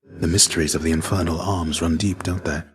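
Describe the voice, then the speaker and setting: An actor playing the ghost of a fallen Greek warrior in a video game, a gentle, fatherly mentor with measured, formal, old-fashioned phrasing. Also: low voice, fairly even intonation, close to an everyday voice. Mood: reflective. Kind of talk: video game character dialogue.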